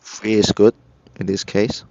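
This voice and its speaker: A man narrating a step-by-step software tutorial in short, halting instructional phrases. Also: lowish voice, little pitch movement, a little hiss or hum. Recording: dull lo-fi computer recording. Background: screen-recorded tutorial voice-over.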